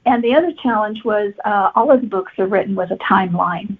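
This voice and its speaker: Husky woman on a phone-like line. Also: medium pitch, a little fast. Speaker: guest novelist. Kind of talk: conversational podcast interview.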